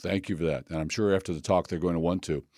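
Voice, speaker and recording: A man's voice: sits low, fairly even intonation, fast, a little mellow, dry recording, studio quality. A man, a pastor hosting an interview show, introducing his guest in a friendly, chatty way with small jokes. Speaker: pastor and podcast host.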